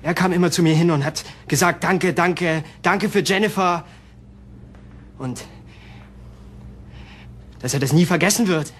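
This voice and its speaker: A man's voice: fairly high pitch, unhurried, pauses often, husky. A man acting a bitter, possessive young murderer in a crime radio play, agitated and self-justifying. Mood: agitated, aggrieved.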